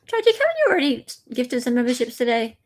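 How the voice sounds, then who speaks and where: A woman's voice: a little high, big pitch swings, quick pace. An Australian woman hosting a livestream in a chatty, informal way, effusive when she gives thanks. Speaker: livestream host. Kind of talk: casual livestream chat.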